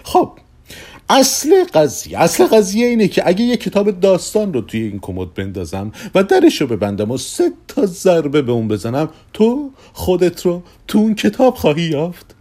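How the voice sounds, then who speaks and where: A man's voice: high-pitched, huge pitch swings, mellow, heavily acted. A man voicing an eccentric, thin little male stage magician in an audio drama, theatrical and chuckling. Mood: scheming, persuasive.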